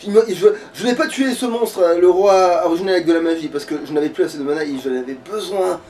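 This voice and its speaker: A man's voice: sits high, quick pace, darkish tone. A man in casual, slangy gaming chat with a co-host, swearing as he goes. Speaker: let's player. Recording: distant mic, clear background noise.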